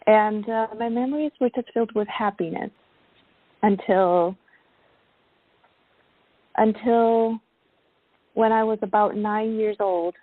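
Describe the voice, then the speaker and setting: Slow, thoughtful woman on a phone-like line. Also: mid-range voice, fairly even intonation, dry recording. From born in Vietnam, grew up in the United States. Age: 30s. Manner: hesitant, thoughtful, halting. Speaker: interview guest. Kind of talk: reflective personal storytelling. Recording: narrow phone-like sound.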